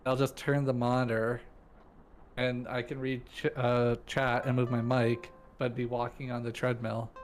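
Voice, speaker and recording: A man's voice: medium pitch, monotone, measured pace, faint music behind. A man who streams, chatting casually and joking around with a friend, swearing freely, from his streaming room at home. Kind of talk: casual live-stream chat.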